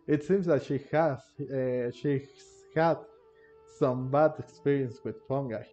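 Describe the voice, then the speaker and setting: A man giving casual, rambling commentary on a game playthrough, halting and repeating himself. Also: mid-range voice, fairly even intonation, warm tone, highs cut off. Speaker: gamer, video host. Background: game music.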